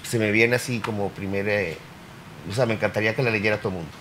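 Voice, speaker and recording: A man's voice: fairly low pitch, steady tone, fast, a bit bright, slight background noise. Hesitant man, a workshop teacher. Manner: hesitant, many fillers and restarts. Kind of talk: panel discussion on literature.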